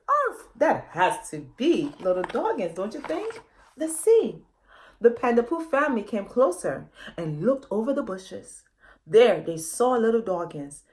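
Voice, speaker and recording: A woman reading her children's book aloud in a dramatic storytime style, often putting questions to young listeners. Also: on the high side.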